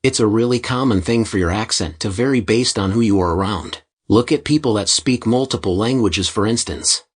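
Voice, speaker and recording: A man narrates an online post in a steady, scripted read. His voice sits low and carries a husky, breathy edge. The delivery stays even from line to line. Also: moderate pace. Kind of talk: scripted reading of online posts.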